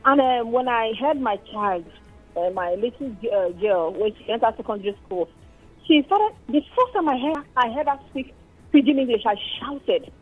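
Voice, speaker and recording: A woman's voice: a little high, lively intonation, moderate pace, narrow, tinny audio, faint music behind. Chatty Nigerian woman calling in. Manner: chatty, storytelling, exclaims. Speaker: caller. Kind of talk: casual phone-in chat.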